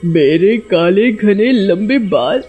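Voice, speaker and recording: A woman voicing a gloating, power-hungry witch in a bedtime story, slow and savouring in her delivery. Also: medium pitch, lively intonation, husky, phone-quality sound, soft background music.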